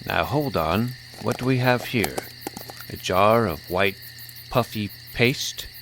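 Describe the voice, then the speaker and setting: Greedy trickster jester, acted by a man, showy and teasing, on the low side, for a fantasy audio story. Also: expressive, slow, fairly bright tone, a little hiss or hum, exaggerated voice. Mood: curious, sly. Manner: showy, teasing, savouring words. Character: sly, theatrical male jester, greedy trickster.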